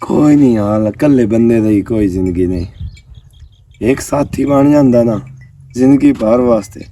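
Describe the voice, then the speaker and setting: A man's voice: mid-range voice, measured pace, warm tone, a little hiss or hum, close to an everyday voice. A man with a Pakistani Punjabi accent playing a lonely, lovestruck rural bachelor in a comedy skit, chatty, eager and effusive with blessings. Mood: glum, self-pitying. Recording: roomy echo, distant microphone.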